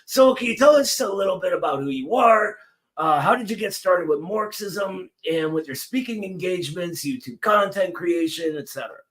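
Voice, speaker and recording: A man's voice: high voice, expressive, moderate pace, fairly bright tone, poor audio. A man from the United States hosting a casual interview, friendly and hesitant with many fillers. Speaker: host.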